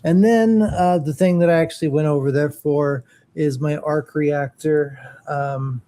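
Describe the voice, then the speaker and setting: A man chatting casually on a morning podcast, halting and searching for words as he shows off collectibles. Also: fairly high pitch, fairly even intonation, dark tone. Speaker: podcast co-host. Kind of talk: casual morning show chat.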